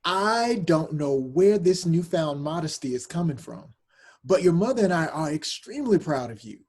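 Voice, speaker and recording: A man playing a proud, encouraging middle-aged father with a husky voice in a script table read. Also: fairly high pitch, animated, moderate pace, a little mellow.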